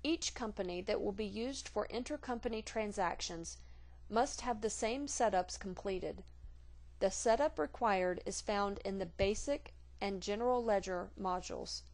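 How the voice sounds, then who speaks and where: A woman reading scripted instructional narration for a software tutorial in a measured, formal, neutral manner. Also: medium pitch.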